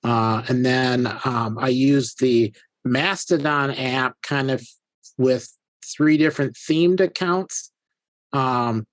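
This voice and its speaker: A man talks at length as a guest on a casual video-call panel, in a chatty, explaining way. His pitch is middle-of-the-road. The recording is dry and close. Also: slow pace, dry recording.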